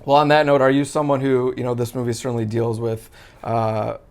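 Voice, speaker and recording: A man's voice: mid-range voice, little pitch movement, a little fast, clear, resonant tone. A man working as an entertainment interviewer, asking casual, winding questions in a tentative, conversational way.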